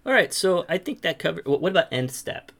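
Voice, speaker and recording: A man's voice: a little high, very animated, fairly quick, gravelly, fairly bright tone. A man hosting a casual, instructional discussion, asking questions and explaining terms step by step. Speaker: host leading the discussion.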